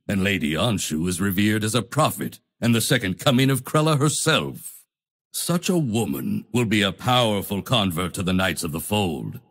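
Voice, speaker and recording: A male actor playing a proud, zealous holy knight leader in a fantasy video game, with a gravelly, husky voice and grandiose, solemn delivery. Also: lowish voice. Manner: grandiose, solemn, deliberate declamation. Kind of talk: theatrical fantasy game voice lines.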